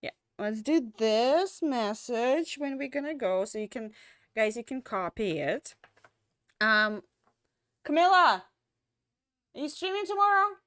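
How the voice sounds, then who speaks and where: A young woman streamer chatting casually at the end of a stream, rambling with lots of fillers and restarts, tired and slowly losing her voice. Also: on the high side, fairly bright tone, clean tone, no room sound, studio quality.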